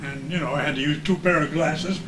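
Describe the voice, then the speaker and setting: Older American man, high-pitched, echoey room. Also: fairly even intonation, fairly quick. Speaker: retired radio announcer as guest. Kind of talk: reminiscing casual chat. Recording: echoey room with background noise.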